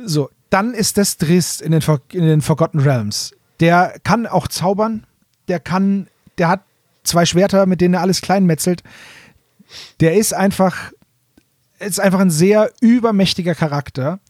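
A man with a fairly high voice chats as a podcast co-host. He explains things informally, fan to fan. The recording is close and dry. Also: normal speed, a little mellow, dry recording. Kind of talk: casual explanatory fan chat.

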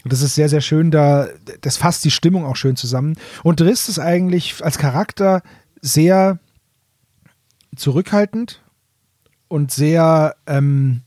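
A middle-aged German man with a slightly high voice co-hosts a podcast. He explains things in casual fan talk, often restating himself as he goes. The sound is dry and close. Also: unhurried, darkish tone, dry recording. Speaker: podcast co-host reviewing audio dramas. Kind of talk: casual, enthusiastic fan chat.

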